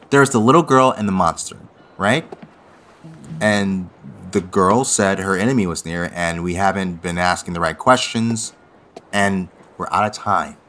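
An unsettled young man with a slightly low voice. He talks haltingly in a naturalistic audio drama way, doubling back on his words as he searches for them. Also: fairly bright tone, a little hiss or hum. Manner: halting, hesitant, repeating words. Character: unsettled young man puzzling over a strange dream.

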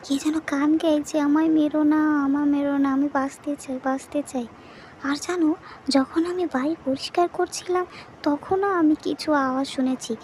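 A woman acts as an excitable housewife in a horror cartoon. Her voice is high and expressive, chatty and coaxing, with faint noise behind it. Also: normal speed, natural voice. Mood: uneasy. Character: excitable, homely young wife in a new house.

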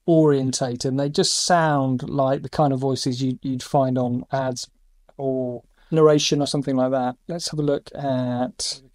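A middle-aged man hosting a casual screen-recorded review, enthusiastic, with quick asides between demos. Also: mid-range voice, fairly even intonation, a little mellow, dry recording. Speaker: YouTube host reviewing AI voice tools.

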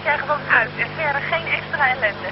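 A woman's voice: sits high, expressive, a little fast. A woman working in air traffic control, speaking in brief operational exchanges. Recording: muffled, noisy control room tape.

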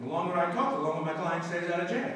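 A man playing a brash, cynical shyster lawyer with quick wisecracking patter in a live stage recreation of a 1930s radio comedy. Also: sits high, lively intonation, fairly bright tone, slight background noise. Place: community hall stage with live audience. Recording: echoey hall from far off.

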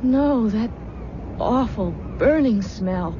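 An actress playing an anxious, affectionate young bride-to-be in a radio drama, earnest and easily unsettled. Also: female voice, on the high side, animated, drawn-out words. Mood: troubled. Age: young adult. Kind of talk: 1970s radio drama acting. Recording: muffled, noisy 1970s radio recording.

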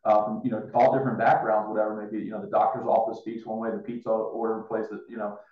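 A man's voice: on the low side, level tone, quick pace. A man in his thirties chatting casually as an interview host on a video call. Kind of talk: casual chat. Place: indoor room, on a video call. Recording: roomy, dull video call audio.

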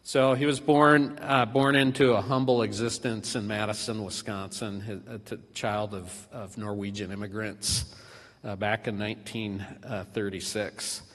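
Middle-aged guy at a church podium, lowish voice, stops and restarts a lot, big echoey sanctuary. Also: steady tone, a bit bright. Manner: halting, many fillers and restarts. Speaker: son giving a eulogy. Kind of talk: memorial eulogy. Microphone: podium microphone. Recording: reverberant church hall.

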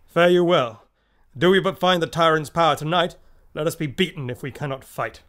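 Man acting a sober general, slightly high voice. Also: moderate pace, a bit bright, lightly acted. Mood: rousing. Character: seasoned noble general, sober and resolute. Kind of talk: classical radio drama, Shakespearean verse.

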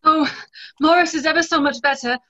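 A young woman playing a tender, earnest gentlewoman in a play reading. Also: sits high, fairly even intonation, brisk. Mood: relieved. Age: young adult. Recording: slightly dull video-call audio.

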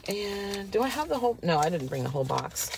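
A woman's voice: medium pitch, big pitch swings, a little fast, vocal fry. A woman in her fifties chatting casually to her camera from inside a car, rambling and laughing often, a little stuffy from a cold. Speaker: vlogger. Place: inside a parked and moving car. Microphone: phone camera on the dashboard. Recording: car noise audible behind voice.

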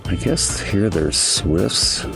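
An older man with a raspy voice narrating a hike outdoors for his travel vlog, low-key and rambling as he thinks aloud. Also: lively intonation, extremely slow. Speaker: travel vlogger. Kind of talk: casual hiking narration. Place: outdoors on a desert trail. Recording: music bed under the voice.

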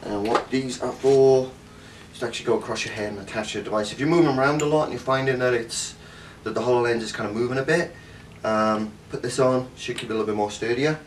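A man's voice: mid-range voice, quick pace. A man in his thirties with a Cardiff Welsh accent, casually reviewing a gadget at home, enthusiastic and rambling with many fillers. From Wales. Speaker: tech reviewer. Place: home living room. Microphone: camera mic from a few metres. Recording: distant camera mic, faint room noise.

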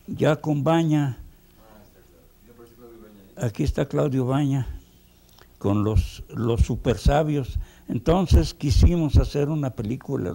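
An older man with a Mexican accent, reminiscing unhurriedly in a documentary interview, pausing as he tells his stories. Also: a little high, creaky, dark tone. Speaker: veteran animator.

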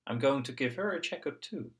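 An excitable, curious little piglet girl from a storybook, acted out by a man. The voice is lifted and swings up and down, playful and childlike. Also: mid-range voice, brisk, voice pushed up, close to an everyday voice. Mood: eager.

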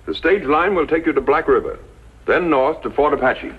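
Formal husky frontier army officer. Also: male voice, on the high side, expressive. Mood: formal, grave. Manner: formal, measured briefing. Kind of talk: 1950s radio western acting. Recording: thin phone-like vintage radio recording.

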